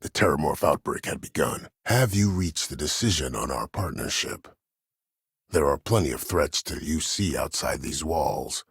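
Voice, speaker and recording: An older military mastermind for a video game, with an extremely low, husky voice. He speaks formally and with measured, silky politeness that carries quiet menace. The sound is dry and close. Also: expressive, no echo, full character voice. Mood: calm, calculating. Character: calculating, courteous, menacing older male military schemer. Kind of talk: dramatic video game voice acting.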